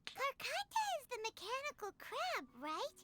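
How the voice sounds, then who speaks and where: A woman voicing a tiny childlike fairy companion in a video game, squeaky, inquisitive and excitable. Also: a little slow, noisy background, full character voice. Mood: curious. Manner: refers to self in third person, exclaiming. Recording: game music behind the voice.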